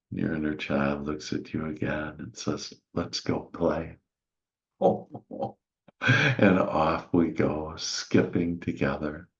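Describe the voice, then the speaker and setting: A man leading a guided meditation in a gentle, soothing, hushed manner with long pauses and soft sighs, on a slightly muffled recording. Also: very deep voice, gravelly. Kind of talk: soothing guided meditation, slow spiritual visualization.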